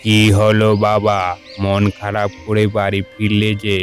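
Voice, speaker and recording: A man playing a gentle, protective old village grandfather in a cartoon, plain and fatherly. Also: on the low side, completely flat delivery, unhurried, faint music behind, natural voice. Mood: concerned.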